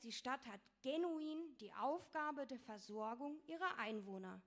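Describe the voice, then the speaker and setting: A young woman giving an explanatory talk with slides, fluent but with frequent fillers and restarts. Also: a little high. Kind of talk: presentation with slides.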